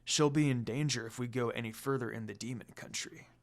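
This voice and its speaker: A narrator voicing a stoic male demon lord, quietly protective, in terse murmured lines. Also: mid-range voice, a little fast, pitched below the performer's own voice, natural voice. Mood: worried.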